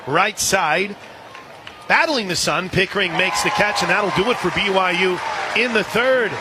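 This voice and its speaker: Male radio play-by-play announcer, slightly high voice, live softball call, outdoor crowd noise behind. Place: outdoor softball stadium broadcast position.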